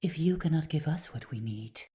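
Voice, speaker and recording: A woman playing a commanding fey council leader in a fantasy audio drama, stately, deliberate and authoritative. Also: low-pitched, expressive, mellow. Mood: regal, stern.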